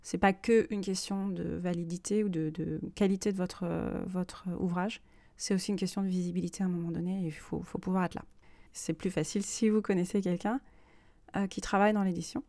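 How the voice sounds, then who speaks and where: A woman's voice: fairly low pitch, fairly even intonation, a little fast, dark tone, dry recording. A woman hosting a personal podcast, speaking unscripted and reflectively, often hesitating as she searches for her words. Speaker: podcast host and self-published author.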